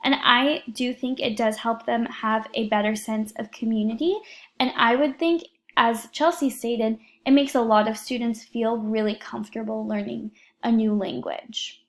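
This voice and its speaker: A woman's voice: on the high side, fairly even intonation, moderate pace. A young woman, an education student, earnestly reading a prepared report for her class, with a few fillers.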